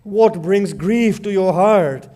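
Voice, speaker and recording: A man's voice: high voice, takes it slowly, dark tone. A man playing a grieving noble warrior, with anguished, pleading cries, as part of a spoken mourning narration. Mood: hushed grief. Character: grieving noble male warrior, elder brother.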